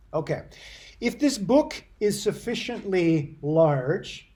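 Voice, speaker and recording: A man with a notably high voice for a male speaker gives a university lecture. He explains things deliberately, repeats points for emphasis and puts questions to his class. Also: a little mellow.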